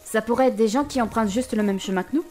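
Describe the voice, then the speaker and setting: A woman playing a talkative, expressive young potion student adventurer in an amateur fantasy audio drama, speaking informally. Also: fairly high pitch, quick pace, faint music behind, close to an everyday voice. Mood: puzzled, wary.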